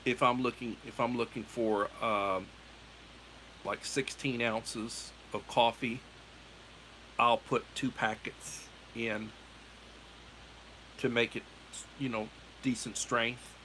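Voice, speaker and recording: A man's voice: medium pitch, steady tone, slow, long pauses, pushed and bright, slight background noise, rough recording. A middle-aged American man hosting a livestream, chatting in a meandering, self-correcting way. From United States. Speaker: livestream host. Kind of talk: casual rambling chat.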